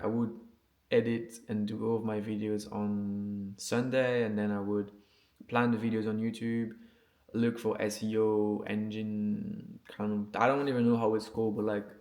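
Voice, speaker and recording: A man's voice: lowish voice, darkish tone. A man who speaks English as a second language, chatting casually and unscripted to camera as a vlogger, in an informal, slangy, sweary and earnest way with frequent check-ins. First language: not English. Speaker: vlogger and music producer.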